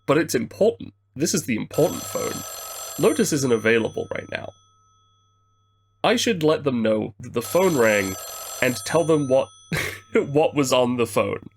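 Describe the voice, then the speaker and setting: A man playing a tabletop game, narrating his character in the third person in a hesitant, deliberate way. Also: mid-range voice, sing-song, slight background noise.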